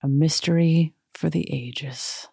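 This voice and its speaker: A woman with a low, mellow voice chats through her podcast in a loose, sarcastic way. She laughs often between asides. The sound is dry and close, with no room around it. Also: steady tone, slow, vocal fry, husky, no room sound. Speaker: podcast host. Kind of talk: casual chat.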